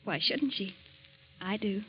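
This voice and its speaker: An actress playing a loving young college woman who gently coaxes her aunt, in 1940s radio acting style. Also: medium pitch, expressive, a little slow, breathy edge. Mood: tender. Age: young adult. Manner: gentle, coaxing, earnest. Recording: lo-fi old radio recording.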